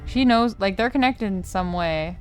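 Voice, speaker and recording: A woman's voice: medium pitch, normal speed. A young woman doing casual let's play commentary, slangy, reactive and given to swearing. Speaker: gaming streamer. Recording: game music behind the voice.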